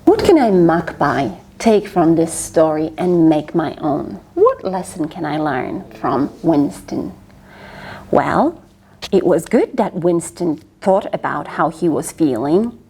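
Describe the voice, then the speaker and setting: A woman reading a picture book aloud to children, expressive and swooping, with lots of exclamations. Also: deep voice, takes it slowly, husky. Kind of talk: read-aloud storytelling to children.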